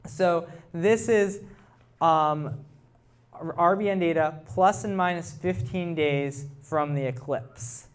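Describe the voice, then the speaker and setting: A man's voice: on the high side, takes it slowly, smooth. A man giving a technical talk to a live audience, explaining things informally and putting questions to his listeners. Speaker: presenter, researcher.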